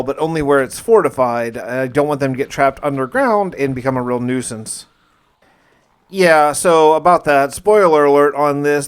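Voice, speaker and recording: A man's voice: fairly high pitch, clear, resonant tone. A man narrating his own survival game playthrough in a conversational, journal-like storytelling way, with light roleplay. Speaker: gaming video creator narrating a survival challenge.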